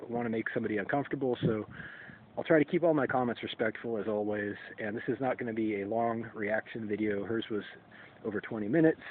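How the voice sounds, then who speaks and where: A man in his forties talking to camera in a solo vlog, halting and hesitant, often restarting and clearing his throat. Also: mid-range voice. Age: 40s. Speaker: YouTube creator. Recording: tinny phone-like sound with faint ambient noise.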